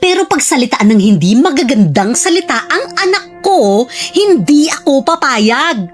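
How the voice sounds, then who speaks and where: A woman's voice: high-pitched, big pitch swings, moderate pace, soft background music. An actress playing a protective middle-aged mother in a radio drama, scolding with indignation that turns tearful. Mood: stern, indignant. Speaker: mother of Tristan.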